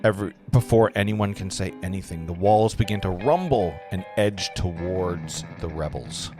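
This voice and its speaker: A man's voice: sits low, moderate pace, a little mellow. A man reading stage directions as narrator at a script table read, stumbling over words now and then. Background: clear guitar music.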